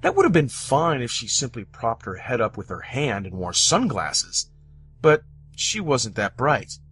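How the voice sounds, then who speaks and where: A man from the United States narrating scripted personal stories, with dramatic, deliberate phrasing and pauses. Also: mid-range voice, huge pitch swings, fairly bright tone, husky. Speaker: storyteller. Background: background music.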